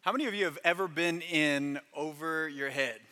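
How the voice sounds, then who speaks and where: A pastor delivers a sermon with earnest emphasis, stacking phrases for weight. His voice is bright and forceful, a little higher than most men's. A long reverb trails him through a large hall. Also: normal speed.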